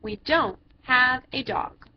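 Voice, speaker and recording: A woman's voice: sits high, expressive, slow pace. A woman voicing an eager, insistent little boy in a picture book, earnest and emphatic. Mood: stubborn. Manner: emphatic, earnest, stretched-out words. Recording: dull lo-fi sound with background noise.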